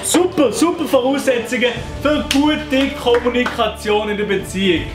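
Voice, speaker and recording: A man's voice: extremely high pitch, normal speed, over music. Sarcastic young man with a Fribourg accent. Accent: Fribourg Swiss German. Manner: mocking, sarcastic. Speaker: YouTuber host. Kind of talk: satirical commentary.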